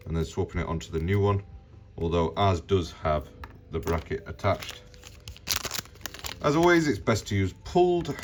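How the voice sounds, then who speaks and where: A man's voice: low voice, a little hiss or hum. A man hosting a repair tutorial, narrating step by step in a plain, practical, informal way.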